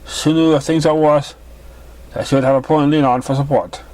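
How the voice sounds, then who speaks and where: A man's voice: fairly high pitch, steady tone, fairly quick, a little mellow, no echo, rough recording. A man narrating a fan fiction story as an amateur reader, stumbling over words in an uneven read. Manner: stumbling, uneven reading with misreadings.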